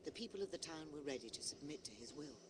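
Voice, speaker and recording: An actor playing a storybook narrator in a video game, telling a heroic fable in a measured, dramatic way. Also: male voice, fairly high pitch, lively intonation, background noise, lightly acted. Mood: grave, dramatic.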